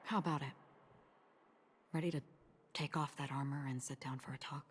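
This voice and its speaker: A woman as a low-voiced enigmatic cosmic wanderer. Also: fairly even intonation, a little hiss or hum, close to an everyday voice. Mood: calm, probing. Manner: measured, cool, reflective. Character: calm, enigmatic wanted woman wandering the cosmos. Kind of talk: scripted video game dialogue. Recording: game music behind the voice.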